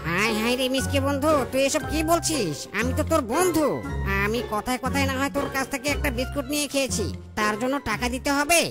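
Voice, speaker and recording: A woman's voice: high voice, fast talker, over music, lightly acted. A woman voicing a lovesick, freeloading male monkey in a comedy cartoon, whiny and cheeky. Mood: protesting.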